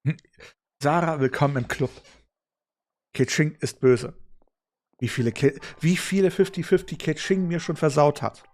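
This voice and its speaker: A man's voice: fairly high pitch, steady tone, moderate pace, long pauses, a little mellow. A man giving casual, offhand gaming commentary as a streamer, with a blunt way of talking. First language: German. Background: game music.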